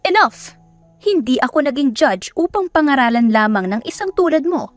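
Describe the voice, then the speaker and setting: A woman plays an elderly judge in an audio drama, stern and dismissive. The voice is raised and highish, with an impatient, authoritative scolding manner. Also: very animated, normal speed, no room sound, soft background music. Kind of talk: dramatic audio story acting.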